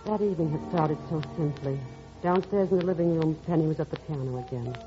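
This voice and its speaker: A woman's voice: low voice, fast, natural voice. An actress playing a reflective, affectionate stepmother in 1940s radio drama style. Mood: wistful, reflective. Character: warm reflective stepmother recalling her past. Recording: dull old radio recording, music behind.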